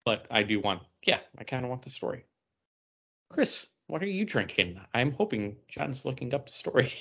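A man's voice: medium pitch, very animated, moderate pace, creaky, bright, forceful tone, breathy edge, muffled recording. A man co-hosting a podcast in loose, casual chat. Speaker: podcast co-host.

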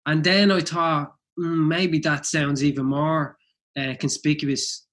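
A man's voice: a little high, level tone, takes it slowly, a bit bright. Man with a mild cold. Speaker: podcast co-host. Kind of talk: casual chat.

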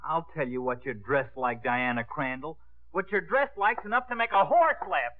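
A man's voice: fairly high pitch, normal speed, natural voice. An actor playing a sulky, sarcastic teenage boy, grumbling and blurting scornfully in a 1950s radio play. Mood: mocking. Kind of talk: 1950s radio drama acting. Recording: narrow, lo-fi 1950s radio broadcast.

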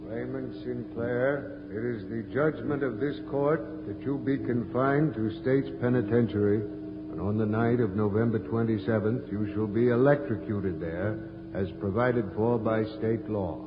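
An actor playing a grave, declamatory judge in 1940s radio drama style. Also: male voice, fairly low pitch, steady tone, a little slow, natural voice. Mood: grave, solemn. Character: solemn judge pronouncing a death sentence. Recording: echoing, muffled old radio, loud music.

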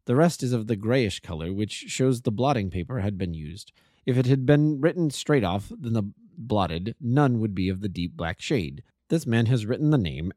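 Cool, measured detective voiced by a male reader, low and clipped, dark mellow tone. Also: no echo, studio quality. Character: cool, lordly Victorian detective, middle-aged.

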